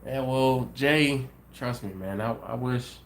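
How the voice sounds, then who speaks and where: Congested man hosting a live chat, easygoing, mid pitch, slight background noise. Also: takes it slowly. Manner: easygoing, thinks aloud with many ums and restarts. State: congested, sniffly. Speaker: livestream host. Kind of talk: casual live chat reading viewer comments.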